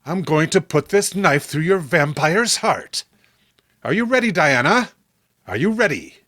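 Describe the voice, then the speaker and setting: A man's voice: on the high side, sing-song, unhurried, a bit bright, dry recording, clean studio sound, heavily acted. A man playing a horrified, indignant man in a horror comic, speaking in a formal, melodramatic, emphatic way. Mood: anguished, enraged. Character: horrified, indignant man confronting his deranged friend. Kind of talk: horror comic read-along acting.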